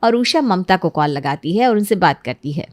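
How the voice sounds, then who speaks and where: A woman's voice: fairly low pitch, animated, normal speed, dry recording. A woman reading scripted narration for a cartoon story.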